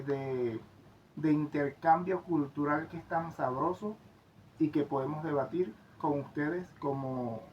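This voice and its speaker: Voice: medium pitch, a little hiss or hum. Enthusiastic co-host, lo-fi. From Venezuela. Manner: enthusiastic, many fillers and restarts. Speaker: co-host of travel channel. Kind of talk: casual conversational commentary.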